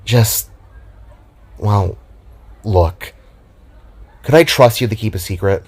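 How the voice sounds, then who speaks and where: A man's voice: on the low side, slow, darkish tone. A man voicing a sullen, weary twenty-year-old younger sister with a sulky, hesitant manner in a narrated story.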